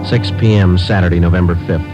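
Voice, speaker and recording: An actor playing a terse police sergeant who narrates his case in a clipped, matter-of-fact, deadpan way in a radio crime drama. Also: low voice, fast, natural voice. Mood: flat, factual. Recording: dull old radio recording with music.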